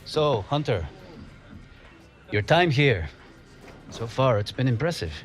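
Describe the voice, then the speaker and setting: An actor playing an earnest, reflective middle-aged football coach, giving measured speeches in a game cutscene. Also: male voice, mid-range voice, big pitch swings, faint music behind. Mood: approving.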